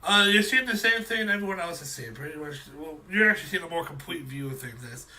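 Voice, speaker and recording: A man narrating a tabletop game as game master, halting and searching for words as he improvises. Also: a little high, expressive, pushed and bright. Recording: distant mic with faint noise.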